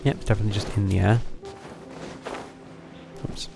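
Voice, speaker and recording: A man's voice: on the low side, fairly even intonation, moderate pace, vocal fry. A man giving casual live game commentary, thinking aloud in a tentative way. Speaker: game streamer. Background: game music.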